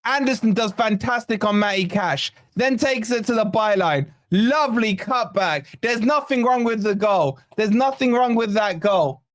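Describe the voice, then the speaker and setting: A man hosting a fan channel's live watch-along, hoarse from shouting, giving excited, partisan play-by-play with swearing. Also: sits high, normal speed, few pauses, dry recording, clean studio sound. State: hoarse, losing his voice. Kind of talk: excited fan match commentary.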